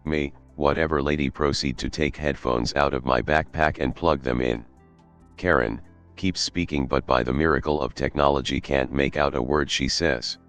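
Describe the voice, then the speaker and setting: A man narrating a Reddit story in a steady, even read. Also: very deep voice, normal speed. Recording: faint background music.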